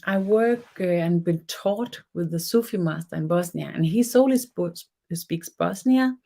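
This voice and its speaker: A middle-aged woman with a Danish accent, her first language Danish, teaching a spiritual webinar in a soft, unhurried way, often pausing and restarting as she searches for English words. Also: lowish voice.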